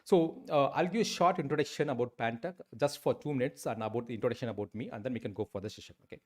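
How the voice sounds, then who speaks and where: A middle-pitched man in his forties speaks with an Indian English accent as he teaches a live online session. He talks into a close desk mic and stumbles often, with many fillers and restarts. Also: fast talker. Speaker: instructor. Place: indoor room, webcam.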